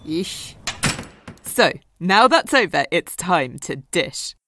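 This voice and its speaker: A woman's voice: fairly low pitch, very animated, slow, a bit bright, no echo, clean studio sound, full character voice. Woman playing a sassy, swooping gossipy friend. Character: brash, nosy, sarcastic gossipy female friend. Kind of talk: audio comedy drama acting.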